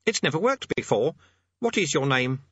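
A man voicing a squeaky, eager young boy demonologist who blusters pompously and hesitates, in a storybook reading. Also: very animated, normal speed, a bit bright, slightly rough, dry recording, dull audio, heavily acted. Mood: eager, chatty. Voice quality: squeaky, high-pitched.

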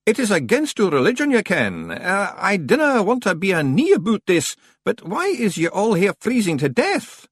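A shifty, mysterious stranger from a storybook. The accent is broad Scottish and the voice is pushed up high, with big swoops in pitch. He blusters through his excuses in hurried dialect. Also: wildly swooping pitch, breathy edge, no echo, clean studio sound, exaggerated voice. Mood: blustering, evasive.

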